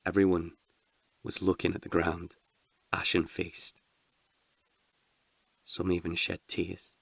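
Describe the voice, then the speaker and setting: Male storyteller, dramatic scripted narration, slowly paced, dry close sound, hushed suspense. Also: low voice, completely flat delivery, vocal fry, breathy edge, no room sound. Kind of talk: dramatic scripted narration of a comic horror tale.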